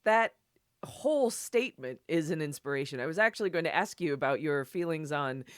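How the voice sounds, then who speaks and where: A woman's voice: low voice, expressive, fairly quick, smooth, no echo, clean studio sound. A woman hosting a podcast interview, talking casually about her research and laughing readily. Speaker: podcast host.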